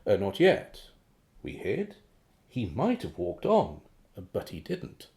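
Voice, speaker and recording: A glib male secret agent with a mellow, dark-edged voice that swings wildly up and down. He reports to his boss with polite, slippery evasions, theatrically animated in radio drama style. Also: on the low side, slow, full character voice. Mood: breezy, confiding.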